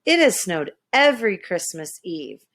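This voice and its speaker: A woman reading a children's story aloud in an expressive, dramatic way. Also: on the low side, unhurried. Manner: animated, dramatic, with hushed asides. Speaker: storybook reader.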